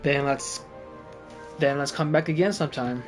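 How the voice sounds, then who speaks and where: A man's voice: on the high side, moderate pace, over music. A man giving casual, slangy gameplay commentary and reading along as he streams a game. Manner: slangy, offhand.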